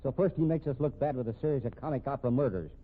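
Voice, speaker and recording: An actor in a 1950s radio mystery playing a wry, weary police captain with a dry, firm, slangy way of talking. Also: male voice, medium pitch, rapid-fire, lightly acted. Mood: accusing. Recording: tinny band-limited old radio recording.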